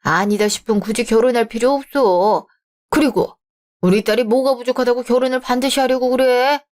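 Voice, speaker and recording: A voice playing a gentle, supportive father. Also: very high voice, steady tone, a little fast. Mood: reassuring. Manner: gentle and reassuring. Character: warm, supportive father of the bride. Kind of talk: radio drama dialogue.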